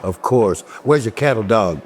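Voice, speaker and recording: A man acting as an overworked, short-tempered detective in an audio drama, in a low voice. He is blunt and sarcastic, with faint noise behind him. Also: lively intonation, normal speed, lightly acted. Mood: curt. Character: gruff, irritable, overworked male detective.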